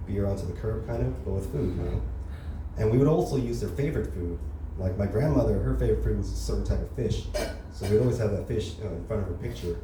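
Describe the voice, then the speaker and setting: Crude fast-talking stand-up comic man. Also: fairly low pitch, dark tone. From Los Angeles area. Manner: casual, crude, lots of filler tags like "you know" and "right". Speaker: stand-up comedian. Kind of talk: open mic stand-up set. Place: comedy club stage, heard from far off. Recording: echoey room, distant mic, audible noise.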